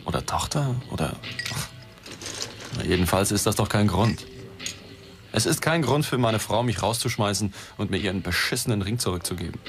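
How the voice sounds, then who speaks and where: Betrunkener, verzweifelter Reporter mit Musik. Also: male voice, low voice. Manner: rambling, blunt and coarse. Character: drunken desperate male reporter, cynical and self-pitying. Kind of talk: German radio drama acting. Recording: studio radio play with loud music behind.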